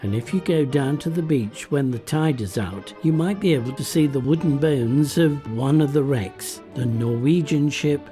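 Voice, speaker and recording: A man's voice: medium pitch, normal speed, few pauses, mellow. A man reading a scripted piece of narration in the role of narrator. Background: music.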